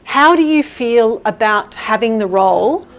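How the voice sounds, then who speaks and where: A woman giving a conference talk and answering questions, speaking loudly and energetically in a chatty way. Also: fairly high pitch, animated, measured pace. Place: event hall with audience.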